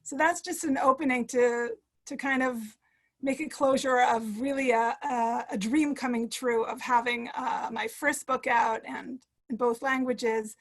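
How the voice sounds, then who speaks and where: A woman author talking to a book club over a video call, hesitant with many fillers, and reading a passage aloud. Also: on the high side. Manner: halting, many fillers and restarts. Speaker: author guest.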